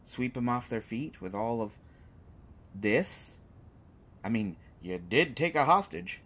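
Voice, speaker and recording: Guy doing a snarky fearless male hostage needling a supervillain, audio drama, super animated pitch all over the place. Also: takes it slowly, slightly rough, full character voice. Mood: smug amusement. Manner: teasing, sarcastic, exaggerated mock reactions. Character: sarcastic, unafraid male hostage taunting a lovesick supervillain. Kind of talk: scripted roleplay audio drama monologue.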